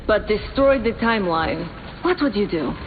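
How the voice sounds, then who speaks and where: A woman's voice: medium pitch, expressive, normal speed, natural voice. A woman voicing a probing young mutant fighter in a video game. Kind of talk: game character dialogue. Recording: thin muffled game capture, noise.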